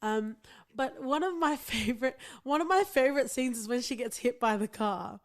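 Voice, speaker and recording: Woman podcast co-host, higher voice, chatty and laughing, casual. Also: normal speed. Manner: chatty, laughs easily, swears. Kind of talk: casual chat.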